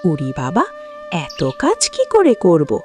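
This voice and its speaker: A woman's voice: fairly low pitch, huge pitch swings, measured pace, darkish tone, faint music behind. Woman acting a bossy cartoon wife, wildly swooping voice. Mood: exasperated, complaining. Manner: scolding, demanding, whiny. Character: vain, bossy, lazy young village wife. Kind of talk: exaggerated cartoon dialogue.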